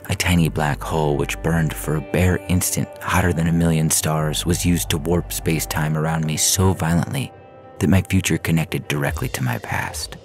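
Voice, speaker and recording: Very deep, gravelly man reading science fiction. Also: steady tone, normal speed. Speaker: fiction podcast narrator. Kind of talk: scripted first-person science fiction story reading. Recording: music bed under the reading. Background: music.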